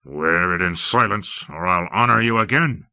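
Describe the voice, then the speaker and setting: Brooding, short-fused monarch, audiobook fantasy, played by a male reader: low, hard-edged, blunt, drawn-out delivery. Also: expressive, no echo, exaggerated voice. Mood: furious. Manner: blunt, drawn-out, forceful speech. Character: brooding, hot-tempered king, bitter and weary. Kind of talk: audiobook character acting.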